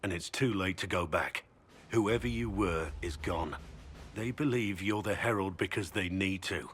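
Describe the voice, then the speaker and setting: A deep-voiced, brooding warrior of middle age, honourable and gruff yet tender. He speaks with measured weight in video game dialogue. Ambient noise sits clearly behind him. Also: a bit bright. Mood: grave, resigned. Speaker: video game character voice actor.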